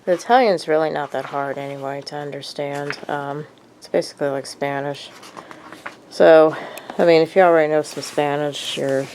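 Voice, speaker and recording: A woman's voice: sits low, fairly even intonation, moderate pace, a little mellow, a little hiss or hum. A woman with a cold, sniffly and hesitant, talking casually in a show-and-tell vlog with lots of ums and uhs. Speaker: vlogger.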